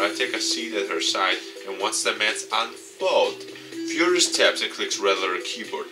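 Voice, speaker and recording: A man reading a visual novel aloud and commenting off the cuff, dramatic with excitable asides. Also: fairly low pitch. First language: not English. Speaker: let's play YouTuber. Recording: distant mic, music behind. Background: game music.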